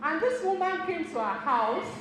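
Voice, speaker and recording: A woman's voice: very high-pitched, big pitch swings, moderate pace. A middle-aged Igbo woman, telling stories and speaking directly to a seminar audience, laughing often. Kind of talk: motivational talk to an audience. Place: community center hall. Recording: very echoey hall with noise.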